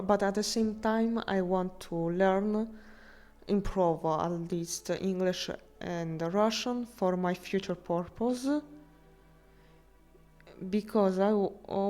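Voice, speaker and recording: A woman streamer chatting casually with her viewers, speaking halting non-native English with frequent restarts while she searches for words. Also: fairly low pitch, warm tone. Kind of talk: casual live-stream chat.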